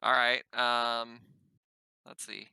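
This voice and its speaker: A man's voice: medium pitch, flat delivery, very slow, vocal fry, sharp tone, clean tone, no echo. A man chatting casually as a player in a tabletop roleplaying game.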